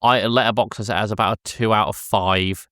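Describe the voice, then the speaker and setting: A man's voice: fairly low pitch, steady tone, brisk, fairly bright tone, dry recording, clean studio sound. A man hosting a casual film discussion podcast, often hesitating and correcting himself as he goes. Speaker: podcast host.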